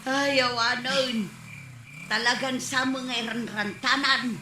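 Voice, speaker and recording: An actress playing a neighbor's wife in a comedy drama who fusses about chores and the noise. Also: female voice, high voice, fairly even intonation, slow. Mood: fussing. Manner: fussing. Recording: echoey room recording.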